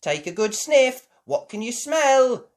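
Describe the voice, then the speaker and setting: A woman voicing a brisk, bubbly rabbit lady party planner who talks in a loud, showy, announcing way. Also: high voice, animated, voice pushed up, full character voice.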